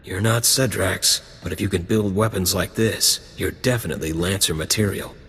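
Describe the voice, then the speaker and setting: An actor playing a gruff, blunt gang lieutenant recruiting for a criminal crew in a video game. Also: male voice, low voice, normal speed, a bit bright, in a large hall, full character voice. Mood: testing, gruff. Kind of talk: video game dialogue acting.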